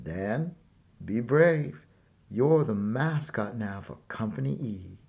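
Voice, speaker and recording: A man's voice: mid-range voice, animated, slow pace, vocal fry, husky, lo-fi sound, full character voice. A man voicing a big, brave, protective soldier in a storybook, speaking with urgent command. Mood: steady, encouraging.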